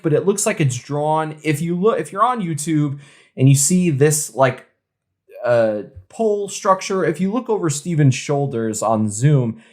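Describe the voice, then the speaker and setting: Man, slightly high voice, enthusiastic, slangy, casual podcast co-host. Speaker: co-host of a craft beer podcast.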